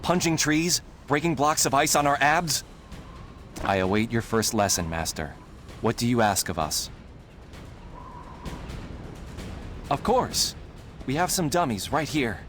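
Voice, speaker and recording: A man's voice: medium pitch, sing-song, moderate pace, fairly bright tone, soft background music. A man voicing a devoted, overeager young martial arts disciple in a video game, earnest and grandiose. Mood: eager, then puzzled. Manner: grandiose, theatrical, fawning. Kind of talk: video game character acting.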